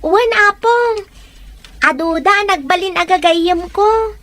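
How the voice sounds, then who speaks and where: An actress playing an insistent, lovestruck young student in a serial audio drama. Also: female voice, very high voice, takes it slowly, fairly bright tone, no echo, full character voice. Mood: dutiful. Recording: clearly noisy with clicks.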